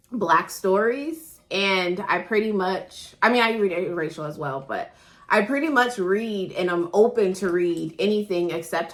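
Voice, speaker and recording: A woman's voice: medium pitch, fairly bright tone. Dry-humored woman in her thirties. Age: 30s. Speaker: podcast co-host. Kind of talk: casual podcast chat.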